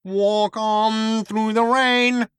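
A relentlessly chipper male spaceship computer, pitched well up and whining through the nose. It is over-friendly and sentimental, in a comic science fiction audiobook, recorded very dry. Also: expressive, sharp tone, no echo, heavily acted. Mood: crooning. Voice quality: nasal, whining. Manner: chirpy, over-friendly, breaks into slow sentimental song. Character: relentlessly cheerful chirpy male shipboard computer, whiny crooner.

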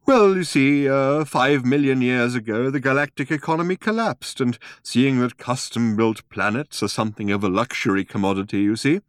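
Man doing an old male planet-builder, slow, wistful, polite, raised pitch, dry audiobook sound. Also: clear, resonant tone, no echo, close to an everyday voice. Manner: slow and drawn out, mild and courteous.

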